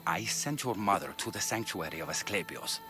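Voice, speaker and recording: An actor playing a dedicated, brisk ancient Greek physician in a video game, earnest and emphatic in the way he talks. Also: deep voice, lively intonation, music in the background. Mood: solemn, regretful.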